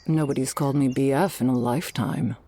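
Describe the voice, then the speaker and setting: An orca girl from an audio roleplay, voiced by a woman, earnest and world-weary. The voice is notably husky and breathy. She talks gently, in a measured way. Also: very deep voice, fairly even intonation, no echo. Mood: warm, nostalgic. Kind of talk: ASMR audio roleplay acting.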